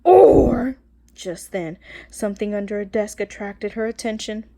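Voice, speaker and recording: A woman's voice: medium pitch, level tone, moderate pace, dark tone. A woman teacher reading a children's storybook aloud expressively, phrasing carefully and talking directly to her young listeners.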